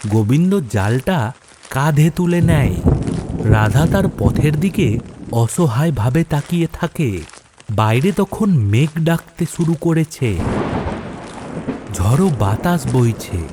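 A man's voice: medium pitch, lively intonation, slow pace, long pauses, noisy background. A man narrating a scripted horror story in a dramatic storytelling style. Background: background music.

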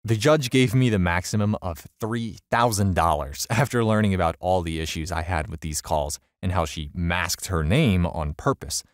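A man reading a first-person online story aloud, telling it in an animated way. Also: normal speed, few pauses, no room sound, studio quality. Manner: animated storytelling with wide pitch swings. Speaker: story narrator.

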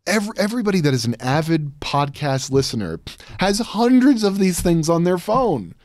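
A man's voice: fairly high pitch, sing-song, moderate pace, a little mellow, no echo. A man in his thirties talking earnestly and emphatically as an interview guest, close on a studio microphone. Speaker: podcast guest, audio drama creator. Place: small podcast studio, indoors. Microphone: close studio microphone with headphones.

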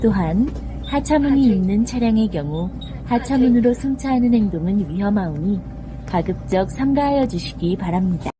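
A woman's voice: mid-range voice, animated, moderate pace. A woman reading scripted bus stop announcements in a formal, carefully enunciated way. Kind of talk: scripted public transit announcement. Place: played over speakers inside a moving bus. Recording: noisy recording of bus cabin speakers. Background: loud vehicle and road noise.

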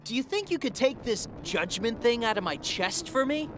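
A man's voice: very high-pitched, animated, normal speed, over music. A man voicing a cocky, upbeat young hedgehog hero in a video game cutscene, breezy and confident.

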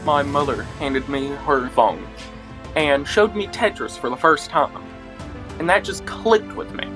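A man's voice: a little high, a little slow. A man, recalling his first games in an easygoing way. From eastern Kentucky. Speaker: interviewee gamer. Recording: music bed underneath.

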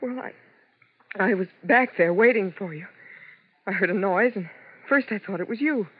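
A woman's voice: medium pitch, moderate pace, breathy edge, dry recording, natural voice. An actress playing a frightened, anxious young Las Vegas showgirl in a 1950s radio drama, with dramatic delivery. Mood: distraught. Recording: muffled old 1950s radio recording.

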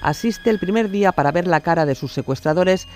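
A man's voice: sits high, fairly quick, darkish tone, soft background music. A man reading scripted narration for a true-crime audio documentary.

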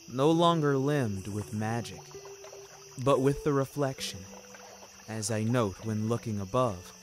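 A man reading a scripted first-person fantasy story in a measured, deliberate way. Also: mid-range voice, a little hiss or hum. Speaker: audio story narrator.

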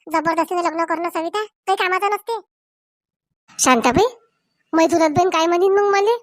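A woman's voice: extremely high pitch, normal speed, no room sound, studio quality. A woman voicing chatty, meddling village women in a Marathi comedy cartoon, with a rural Varhadi accent and colloquial, exclamatory talk. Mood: persuasive, eager. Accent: rural Maharashtra, Varhadi Marathi.

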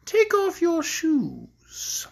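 A woman's voice: extremely high pitch, wildly swooping pitch, drawn-out words, vocal fry, a little mellow, pitched above the performer's own voice, exaggerated voice. A woman voicing a cruel storybook queen, imperious, mocking and haughty. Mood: gloating, teasing. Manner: commanding, teasing, haughty. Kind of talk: children's storybook read aloud.

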